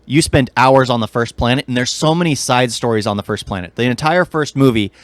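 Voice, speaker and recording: A man's voice: medium pitch, a little fast, no echo. Male podcast co-host, mid-range voice, dry sound, rambling and opinionated, keen fan talk. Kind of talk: casual chat about video games and film.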